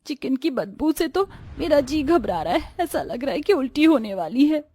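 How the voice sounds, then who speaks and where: Woman playing a high-voiced, plaintive young Indian bride. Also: big pitch swings, normal speed, mellow. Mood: disgusted. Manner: plaintive, lamenting. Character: distressed young Indian daughter-in-law, tender-hearted and plaintive. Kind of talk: cartoon story dialogue.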